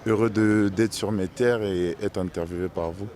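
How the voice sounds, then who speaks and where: A man with a fairly low voice, interviewed outdoors in a city. He is a pro basketball player from Brazzaville who speaks earnestly and reflectively, with a few restarts. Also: fairly even intonation, normal speed, darkish tone. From Brazzaville, Congo. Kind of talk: interview answers.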